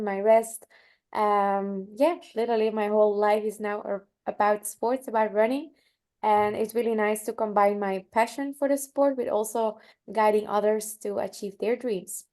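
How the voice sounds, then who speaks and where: A woman speaks in a middle pitch, describing her work as a running coach in an easygoing way. Her talk is loose and filled with "like" and "um". Nothing in her delivery is showy. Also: mellow. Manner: casual, with frequent "like" and fillers. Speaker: online running coach and athlete. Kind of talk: casual webinar talk.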